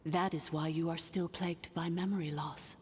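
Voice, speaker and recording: A woman voicing a solemn, ancient artificial intelligence ruler in a video game, speaking formally and gravely in a cavernous reverb. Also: low voice, fairly even intonation, darkish tone. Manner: formal, measured, grave exposition. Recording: long cavernous reverb, music underneath.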